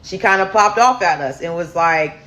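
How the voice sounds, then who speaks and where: A deep-voiced woman, candidly telling viewers a personal story in a casual storytime vlog. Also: normal speed, fairly bright tone. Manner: candid, chatty, addresses viewers as y'all. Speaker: storyteller host.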